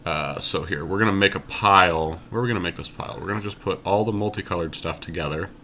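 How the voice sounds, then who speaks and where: A man's voice: low voice, quick pace, pushed and bright, slight background noise. A man co-hosting casual gameplay commentary, thinking aloud and explaining his choices as he goes. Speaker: podcast co-host and card game player.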